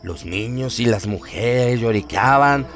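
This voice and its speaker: A man's voice: medium pitch, slow pace. A man narrating a horror radio story in a solemn, literary, suspenseful manner. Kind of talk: dramatic scripted horror narration. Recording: music behind the voice. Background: music.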